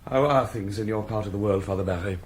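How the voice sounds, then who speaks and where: An actor playing a quietly probing, flattering priest in a radio drama, husky, speaking in brief leading questions. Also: male voice, fairly low pitch, fast. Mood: quietly solicitous.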